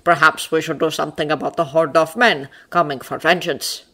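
A woman's voice: deep voice, steady tone, brisk, bright, forceful tone, heavily acted. Woman as a regal bird daemon. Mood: regal, courteous. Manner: formal, intoning.

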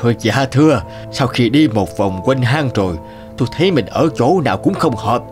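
A man's voice: medium pitch, lively intonation, moderate pace, over music, lightly acted. A man voicing a wily old male crow in a cartoon fairy tale, deferential, pleading and hesitant. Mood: humble pleading.

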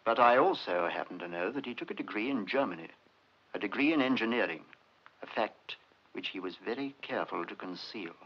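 Sly British sleuth, 1950s film. Animated, slightly husky, politely relentless, muffled vintage sound. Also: male voice, on the low side, fairly quick. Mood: earnest, pressing. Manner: feigned mildness, polite, insistent questioning. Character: probing, sly, urbane male investigator posing as chatty acquaintance.

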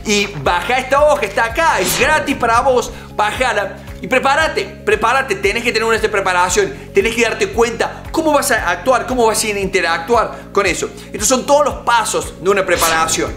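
Emphatic coach in his forties, high bright voice, soft music underneath. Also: moderate pace, hardly any pauses. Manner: emphatic, animated, gestures with hands. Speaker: negotiation coach. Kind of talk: direct-to-camera coaching talk. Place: home office with bookshelves and art.

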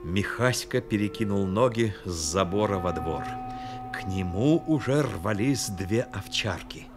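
A man narrating a Soviet radio play dramatically, with intense, measured storytelling. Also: lowish voice, lively intonation, over music. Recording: old radio recording with noisy effects behind.

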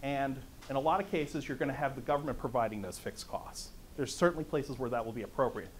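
A middle-aged man with a conversational, lively manner, lecturing to a conference audience in a meeting room. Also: mid-range voice, quick pace, a little hiss or hum. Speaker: conference speaker. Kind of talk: lecture on economics.

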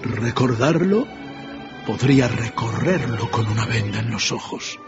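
An actor playing an emotional, remorseful old miser in a radio drama, theatrical and wistful. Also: male voice, medium pitch, lively intonation, unhurried, close to an everyday voice. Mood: moved, eager. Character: old miserly man revisiting his childhood, emotional and remorseful. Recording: muffled radio drama with prominent music.

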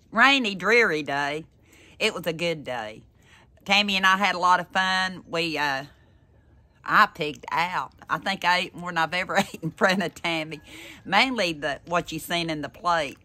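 A woman's voice: fairly low pitch, bright, forceful tone. A woman in her sixties with a Southern American accent, chatting casually to camera in a folksy, rambling way. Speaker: vlogger. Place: in a parked car and outdoors in a yard. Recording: noisy outdoor and in-car recording.

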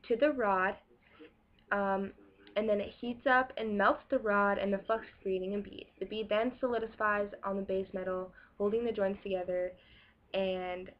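A young woman in a small room presenting a school project about welding to her webcam, in a casual way with many ums and restarts. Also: medium pitch. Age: young adult. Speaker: welding student. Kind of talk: school project explainer video. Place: small room against a plain wall, indoors. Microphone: webcam in front of her. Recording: dull lo-fi webcam audio.

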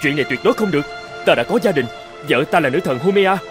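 Cartoon island chieftain held captive , played by a man: fast, slightly high male voice, earnest and pleading. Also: animated, faint music behind, lightly acted. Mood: alarmed, protesting. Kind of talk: dubbed cartoon fairy-tale acting.

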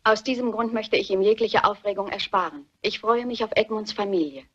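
An actress playing an anxious, secretive daughter in a German radio murder mystery, earnest and dramatic. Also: female voice, medium pitch, fairly quick, husky, lightly acted. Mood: measured reading. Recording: muffled, dull radio play recording.

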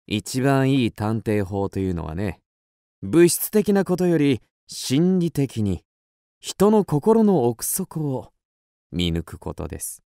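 An actor playing a cool, self-assured young detective in a radio drama, explaining things deliberately and theatrically. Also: male voice, medium pitch, big pitch swings, creaky, dark tone, no echo, studio quality, full character voice. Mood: confident, explaining. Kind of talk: Japanese radio drama acting.

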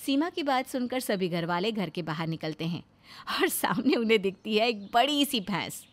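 Woman narrating a story, medium pitch. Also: lively intonation, normal speed.

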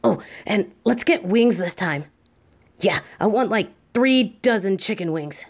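A woman voicing a sweet, playful demon girlfriend who purrs, talking softly and affectionately in an audio roleplay. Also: mid-range voice, animated, measured pace. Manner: soft, affectionate, drowsy.

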